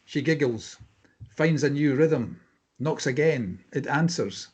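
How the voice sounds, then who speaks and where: A man's voice: a little high, animated, slow pace, lo-fi sound, heavy background noise. A man reading scripted stage directions as narrator at a table read.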